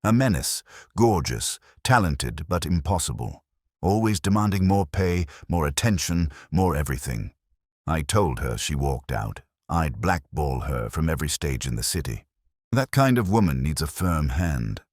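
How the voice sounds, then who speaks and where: A man voicing a gruff, domineering male theatre producer with a blunt, barking manner in a detective audiobook. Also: extremely low pitch, lively intonation, moderate pace, dry recording, clean studio sound. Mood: gruff, exasperated.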